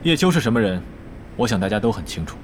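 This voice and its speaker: A man acting a serious young esports team captain in an audio drama, speaking in a measured, earnest, formal way. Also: lowish voice, sing-song, a little hiss or hum. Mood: calm, authoritative.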